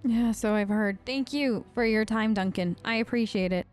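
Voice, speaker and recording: A woman's voice: fairly high pitch, normal speed, faint music behind. A woman hosting a game let's play, improvising in character in a casual, polite and hesitant way. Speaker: gaming video host.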